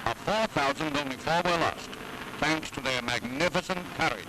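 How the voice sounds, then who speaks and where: A man's voice: fairly high pitch, expressive, moderate pace, background noise. An American man reading dramatic, declamatory scripted narration for a 1940s newsreel. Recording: archival 1940s newsreel, music behind.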